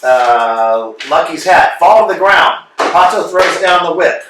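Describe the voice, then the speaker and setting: A man's voice: fairly high pitch, animated, takes it slowly, background noise. A man reading out stage directions in a plain, matter-of-fact way during a play reading. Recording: echoey room from far off.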